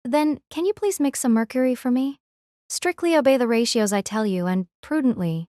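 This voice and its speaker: A woman playing a gentle, composed noble lady in an audio drama, speaking politely and reassuringly. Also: fairly high pitch, moderate pace, no room sound, studio quality, natural voice. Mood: gently instructing.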